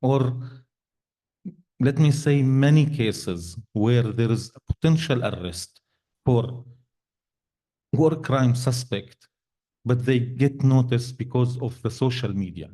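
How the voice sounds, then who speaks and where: Halting man with non-native English. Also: medium pitch, dark tone. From Syria. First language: Arabic. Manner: halting, many restarts and fillers, non-native grammar. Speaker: panelist. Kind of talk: panel discussion answer. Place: event hall.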